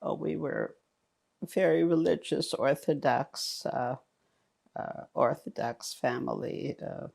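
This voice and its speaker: A woman in her eighties, born in Romania with German as her first language, giving slow, halting oral history testimony full of fillers and restarts. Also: low voice, creaky, a little mellow. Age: 80s. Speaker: interviewee.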